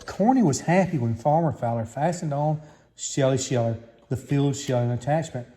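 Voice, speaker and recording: A man's voice: mid-range voice, normal speed, warm tone. A man reading a children's story aloud as a scripted narration. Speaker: storybook reader.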